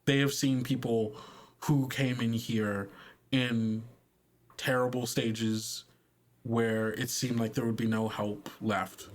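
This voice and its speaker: A man running a tabletop roleplaying game as game master, narrating in a halting, conversational way with pauses. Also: medium pitch, little pitch movement, a little hiss or hum.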